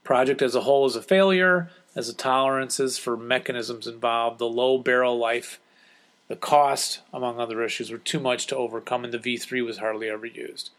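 A man's voice: medium pitch, fairly even intonation, normal speed, fairly bright tone. A man hosting a podcast, reading listener letters and chatting casually with an explanatory, enthusiast manner. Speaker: podcast host.

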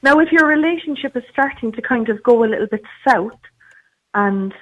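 A woman's voice: fairly high pitch, expressive, moderate pace, a bit bright. A woman co-hosting a radio talk show, chatty and rambling, full of fillers and light laughter. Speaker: radio co-host. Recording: tinny phone-like line.

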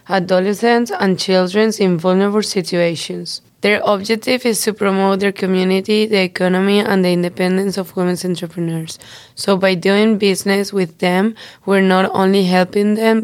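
A woman's voice: medium pitch, darkish tone. Young woman from Spain reading notes. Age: young adult. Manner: careful, informative, small slips. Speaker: student guest. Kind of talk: reading prepared explanations.

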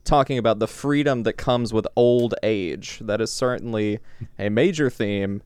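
A man's voice: fairly low pitch, moderate pace, darkish tone, clear, resonant tone, no echo. A man hosting a podcast, steadily steering the chat and introducing the episode's topic. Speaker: podcast host.

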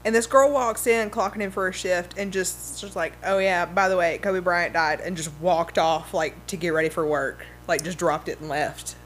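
Chatty low-voiced woman. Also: steady tone, soft background music. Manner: chatty, many "um" pauses. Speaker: podcast co-host. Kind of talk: casual storytelling chat.

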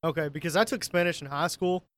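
A man's voice: a little high, quick pace, a bit bright. A man co-hosting a casual podcast, making dry, wry remarks. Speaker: podcast co-host. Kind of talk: casual chat.

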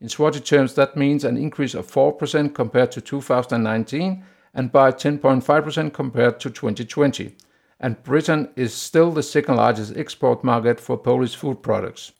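Male podcast host, Danish accent, gravelly voice at a mid pitch, steady and plain with hesitant "uh" fillers. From Denmark. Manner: steady, plain delivery with frequent "uh" pauses. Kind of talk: solo business podcast monologue.

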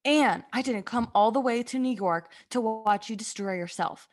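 A woman's voice: fairly high pitch, normal speed, natural voice. A woman playing a blunt, exasperated, foul-mouthed young friend in a comedic radio play, with modern slang and sassy emphasis.

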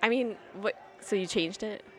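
A woman's voice: medium pitch, moderate pace. A woman co-hosting a podcast in a coffee shop, chatting playfully and tossing in quips and laughter. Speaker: podcast co-host. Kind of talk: casual, bantering chat.

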